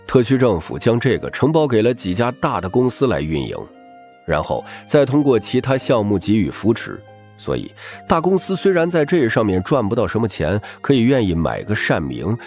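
A man's voice: lowish voice, sing-song, moderate pace, a little mellow, faint music behind. A man narrating a novel as scripted audiobook storytelling, with a dramatic delivery.

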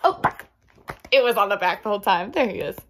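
A woman's voice: medium pitch, lively intonation, a little fast, noisy background. A young woman reads a children's picture book aloud expressively and emphatically, with chatty asides. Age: young adult. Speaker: read-aloud storyteller. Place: small indoor room at home.

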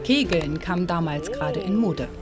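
Calm woman narrating a documentary over music. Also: fairly low pitch. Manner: calm, measured, informative.

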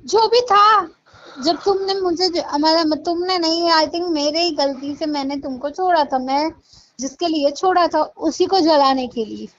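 A woman's voice: high voice, unhurried, a little hiss or hum. A young woman playing a defensive, exasperated ex-girlfriend in a staged phone call, arguing back with questions. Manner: argumentative, retorting with questions. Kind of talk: staged phone-call conversation in casual Hindi. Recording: dull lo-fi phone-call sound.

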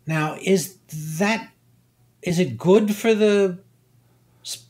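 An older man, a guest scientist, explaining slowly and deliberately, pausing and repeating words as he thinks. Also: high voice, darkish tone. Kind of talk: unhurried expert explanation.

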